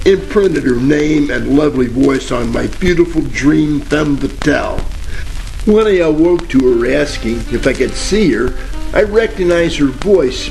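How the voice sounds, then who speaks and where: Hard-boiled parody detective, male actor: gravelly, dazed, dry and rambling, vintage radio comedy with light music underneath. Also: medium pitch, darkish tone. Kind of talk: 1940s radio detective parody acting and narration. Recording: faint music, old radio crackle.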